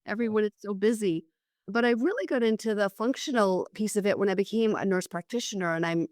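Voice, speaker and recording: A middle-aged woman with a hoarse voice from a cold, talking casually as an interview guest, often restarting her sentences. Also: fairly low pitch, dry recording, studio quality. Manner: conversational, with frequent restarts and fillers. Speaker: guest, psychiatric nurse practitioner.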